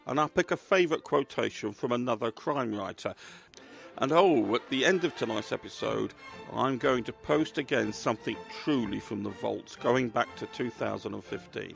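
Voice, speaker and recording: A man's voice: medium pitch, creaky. A man with an English accent chattily presenting a radio show as its host.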